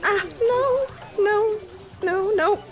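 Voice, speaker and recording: A woman's voice: very high voice, very slow. A woman chattering playfully and casually, like a guest playing a video game.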